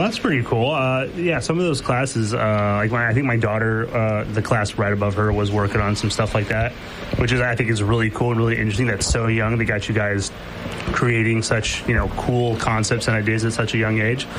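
A man's voice: lowish voice, fairly even intonation, a little fast. Man, radio host, easygoing, chatty, studio mic, faint noise behind. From Mexico. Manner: easygoing, chatty, many fillers. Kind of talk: casual radio interview.

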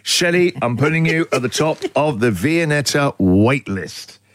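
A man with an Australian accent hosting a breakfast radio show, talking in energetic, jokey banter. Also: fairly high pitch, unhurried. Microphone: studio mic.

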